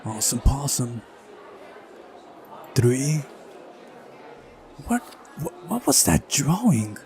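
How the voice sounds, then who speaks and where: Slow-talking mellow man, gaming commentary. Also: mid-range voice, very animated, a little hiss or hum. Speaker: let's play host. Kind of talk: visual novel playthrough, reading dialogue aloud with casual commentary.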